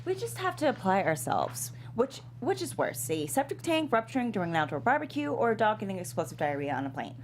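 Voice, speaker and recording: Intense, animated young intern played by a woman. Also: mid-range voice, fast talker, hardly any pauses, a little hiss or hum, natural voice. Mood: snippy. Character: intense, ambitious, sharp-tongued young female intern. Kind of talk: comedy script table read.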